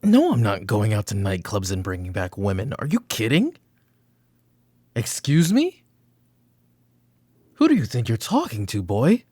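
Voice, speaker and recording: A man playing a weary, short-tempered mafia boss father in a roleplay audio drama, with a gravelly, husky voice and a commanding, curt manner. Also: fairly low pitch, wildly swooping pitch, a little slow, pauses often, dry recording, heavily acted. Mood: angry, commanding. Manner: commanding, curt, slipping into hushed muttering. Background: background music.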